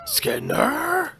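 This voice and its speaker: A young British man narrates his gameplay in a loose, chatty way, with a stuffy nose from a cold. His voice swings up and down a lot and has a gravelly edge, and he drops the odd swear word. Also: extremely high pitch, pushed and bright. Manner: chatty, swears, laughs at the game. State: congested with a cold. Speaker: gaming channel host. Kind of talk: casual let's-play commentary.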